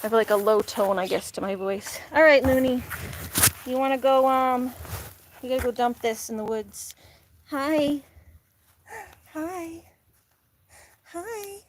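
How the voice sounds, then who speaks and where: A woman's voice: high-pitched, unhurried, pauses often, slight background noise. Chatty young woman vlogging farm chores. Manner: chatty, laughs often, coos at animals. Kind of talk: casual chat to camera while doing farm chores. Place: outdoors on a small farm.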